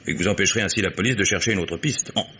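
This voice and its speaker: A blunt private detective in a radio mystery. His voice sits low and has a hard, sharp edge. He presses his points with sardonic exasperation, over a faintly hissy recording. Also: rapid-fire. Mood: grave, resigned. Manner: argumentative, sardonic, insistent. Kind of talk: French radio mystery play acting.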